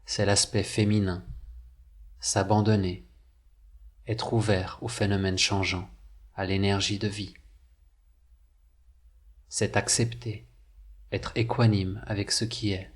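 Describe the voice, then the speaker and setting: A man narrating a scripted guided meditation, with measured, deliberate phrasing and pauses. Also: on the low side, flat delivery, husky.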